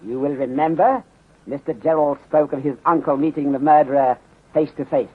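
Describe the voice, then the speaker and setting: A muffled, narrow-band 1930s radio recording carries a shrewd, courteous middle-aged Chinese detective. His husky voice is measured and formal, with clipped sentences that drop their articles. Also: a little high. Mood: calm, methodical. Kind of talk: 1930s radio mystery acting.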